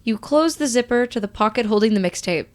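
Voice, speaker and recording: Woman, high-pitched voice, scripted story narration, expressive reader. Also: fairly quick. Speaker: podfic reader.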